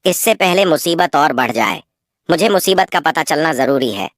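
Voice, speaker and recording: A woman's voice: low-pitched, animated, moderate pace, dry recording, clean studio sound. A woman voicing a dignified, caring male lion king with a stately, earnest manner in a cartoon moral story. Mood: resolute.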